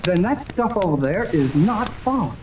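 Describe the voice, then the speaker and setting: An actor playing an earnest, excitable young American radio operator in a 1930s radio serial. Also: male voice, high-pitched, measured pace. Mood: urgent. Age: young adult. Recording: noisy old radio recording, steady crackling hiss.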